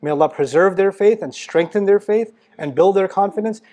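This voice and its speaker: A man's voice: high-pitched, brisk, warm tone. A man giving a motivational religious lecture, emphatic and blunt, firing rhetorical questions and teasing his listeners. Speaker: Islamic lecturer.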